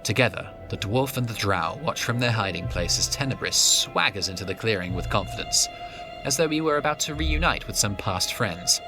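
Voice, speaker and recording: An actor as the composed storyteller of a fantasy audio drama, reading scripted narration. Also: male voice, fairly low pitch, expressive, moderate pace. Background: music.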